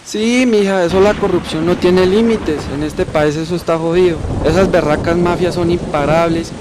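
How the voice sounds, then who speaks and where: A man's voice: sits high, fairly even intonation, moderate pace, lightly acted. A man playing a plain-spoken Colombian grandfather, salty and colloquial, in an amateur radio drama. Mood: grumbling. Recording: noisy amateur recording.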